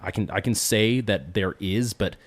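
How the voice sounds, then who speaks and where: A podcast co-host debating with a low, steady voice. He restarts his sentences and presses his argument, and the sound is dry and close to the mic. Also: moderate pace, dry recording. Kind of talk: casual debate.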